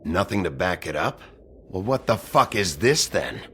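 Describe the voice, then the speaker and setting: Hard-boiled sheriff for a game: deep, creaky, terse, threatening interrogator. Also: male voice, sing-song, moderate pace, sharp tone, a little hiss or hum, full character voice. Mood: pressing, suspicious. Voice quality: gravelly. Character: gruff, menacing, hard-boiled male wolf sheriff. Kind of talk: video game voice acting.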